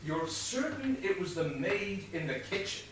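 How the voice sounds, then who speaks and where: A man playing an exasperated police inspector in a comic stage whodunit, questioning in a dry, brisk, officious way. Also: sits high, very animated. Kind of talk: comic stage play acting. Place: stage of a small theatre. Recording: distant echoey theatre recording, faint noise.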